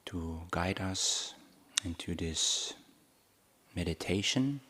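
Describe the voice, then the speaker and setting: A man with a low-pitched voice leads a guided meditation. He speaks very slowly, setting out short phrases one at a time. Long, measured pauses separate his thoughts, as a monk teaching would leave them. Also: animated, bright, forceful tone.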